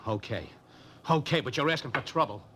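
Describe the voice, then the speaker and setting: A man's voice: medium pitch, fairly quick, a bit bright, close to an everyday voice. Young male thug, acted, menacing bluster that gives way easily, faintly noisy old radio recording. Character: surly, threatening but easily cowed young male tough. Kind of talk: 1940s radio drama acting.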